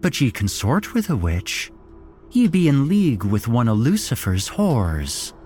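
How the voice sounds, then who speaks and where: A man's voice: medium pitch, huge pitch swings, measured pace, warm tone, soft background music, voice pushed up. A man voicing a young woman cultist who accuses in old-fashioned speech. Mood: accusing. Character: young woman cultist speaking archaic words.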